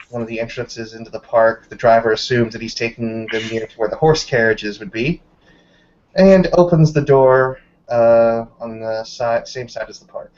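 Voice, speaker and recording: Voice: medium pitch, darkish tone. Tabletop roleplayer narrating, slightly muffled.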